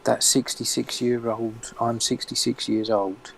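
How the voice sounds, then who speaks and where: A man's voice: mid-range voice, level tone, measured pace, vocal fry, fairly bright tone, slight background noise, poor audio. A man co-hosting a livestream, chatting casually with dry, wry asides. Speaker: livestream co-host. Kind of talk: casual chat.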